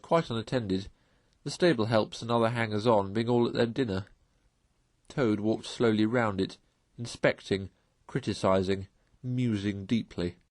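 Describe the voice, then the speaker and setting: A man tells a story in expressive read-aloud narration. His voice sits at a medium pitch, and the recording is dry and close, with very little room sound. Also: dry recording. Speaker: storyteller reading a novel aloud.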